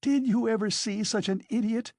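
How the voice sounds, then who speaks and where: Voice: medium pitch, normal speed, warm tone, no room sound, clean studio sound, voice pushed up, lightly acted. A reader voicing a frantic, defiant widow who shrieks and accuses in hysterical fury, as an audiobook character.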